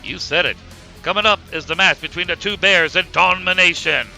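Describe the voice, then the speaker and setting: A man's voice: sits high, fairly quick, gravelly, pushed and bright, soft background music, heavily acted, low-quality recording. A man playing a wrestling commentator, explaining the fighters in a cartoon show. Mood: informative.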